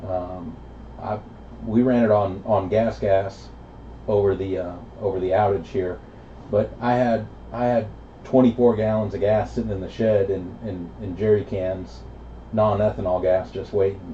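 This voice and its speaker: A middle-aged American man with a very dark, soft-edged low voice chats casually on CB radio. He is laid-back and halting. The reception is echoey, with faint noise. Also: muffled recording. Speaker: amateur radio operator.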